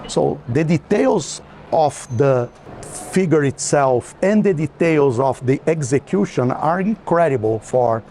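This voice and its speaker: A man's voice: on the high side, animated, unhurried, warm tone. A man in an unscripted, reflective conversation about art, speaking as a guest on a tour. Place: walking through an art fair. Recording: clear background noise of busy fair.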